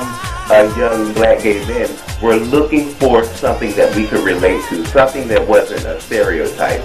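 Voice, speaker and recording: A man with a fairly low voice hosts an introduction in an unhurried, conversational way. The room is echoey, and faint music plays behind him. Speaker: host introducing a stage play.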